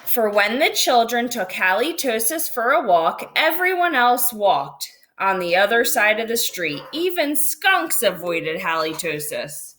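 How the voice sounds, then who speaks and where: Animated woman reading a children's storybook. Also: a little high, a little hiss or hum. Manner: animated, drawn-out dramatic phrasing. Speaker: read-aloud storyteller. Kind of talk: expressive children's picture book read-aloud.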